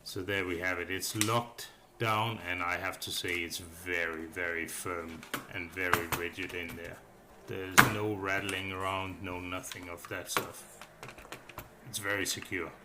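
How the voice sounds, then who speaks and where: A man's voice: sits low, level tone, measured pace, very noisy. A man giving a casual, unscripted voice-over review as a hobbyist, thinking aloud in a hesitant, rambling way. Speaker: hobbyist reviewer narrating a build. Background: background music.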